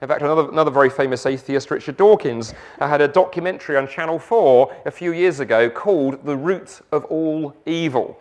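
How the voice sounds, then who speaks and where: Casting middle-aged man with a South London accent, slightly high voice, lively guest preacher who throws in jokes. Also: brisk, few pauses. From England. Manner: lively, joking asides, rhetorical questions. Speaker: guest speaker. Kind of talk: evening church talk.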